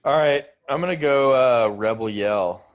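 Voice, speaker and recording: A man's voice: medium pitch, unhurried. A man co-hosting a podcast in casual, slangy, teasing banter. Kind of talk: casual chat.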